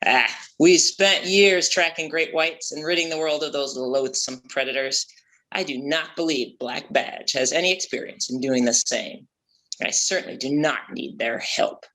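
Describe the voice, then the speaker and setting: A man's voice: a little high, moderate pace, sharp tone, low-quality recording. A man playing a vain, boastful ex-pirate shark hunter, grand and pompous, in a comedy table read. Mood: proud, scornful.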